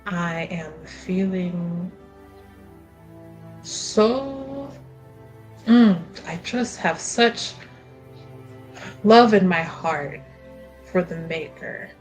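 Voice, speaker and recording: Woman playing a coy, slow-talking noblewoman niece. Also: lowish voice, expressive, faint music behind. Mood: feigned piety. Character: flattering, coy noblewoman niece feigning piety.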